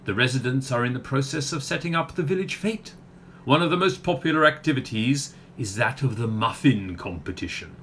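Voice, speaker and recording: A man's voice: medium pitch, animated, fairly quick, fairly bright tone, lightly acted. A man in a comedy radio play, playing a genteel, slightly pompous older-generation character who talks in a polite, hesitant way. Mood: self-important. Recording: faint background noise.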